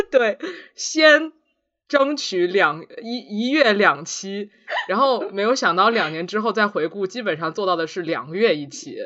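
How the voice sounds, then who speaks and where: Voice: medium pitch, animated, a little slow, pushed and bright, highs cut off. A podcast co-host chatting casually in a reflective, self-deprecating way. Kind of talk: casual two-person podcast chat.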